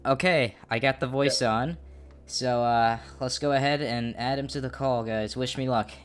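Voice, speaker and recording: A man's voice: mid-range voice, fairly even intonation, moderate pace. A man telling a story and speaking directly to his audience and others as a YouTube host. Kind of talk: scripted story narration and confrontation.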